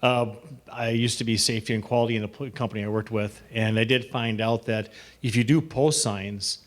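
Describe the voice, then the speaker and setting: A man's voice: lowish voice, fairly even intonation, fairly quick. A man who owns a campground speaks up in a group discussion in a meeting room, direct and matter-of-fact. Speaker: campground owner.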